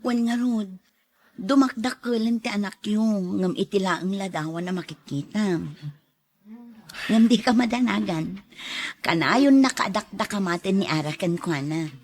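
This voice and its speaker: A woman's voice: medium pitch, a little slow, a little mellow. A woman playing a kindly, reassuring go-between in an Ilocano radio drama, speaking in a friendly manner.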